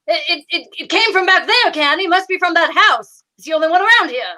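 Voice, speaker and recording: A woman's voice: extremely high pitch, animated, a little fast, crowd noise, voice pushed up. A woman playing a genteel, fussy detective's sidekick with an arch manner in an amateur old-time radio play. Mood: nervous.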